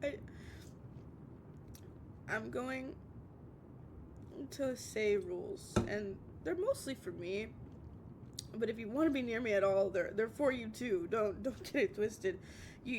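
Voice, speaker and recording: A woman playing a drunk, heartbroken, obsessive young lover in an intimate audio roleplay, tearful and halting, stammering and repeating herself. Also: on the high side, expressive, noisy background. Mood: tearful, firm.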